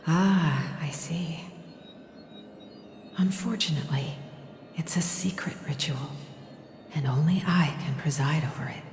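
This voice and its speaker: A woman playing a gentle, loving mother in a dramatic game cutscene, speaking tenderly in a slow, hushed, breathy voice. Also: deep voice. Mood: tender, soothing. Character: gentle, loving mother and holy maiden soothing her child. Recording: echoey long reverb under clear music.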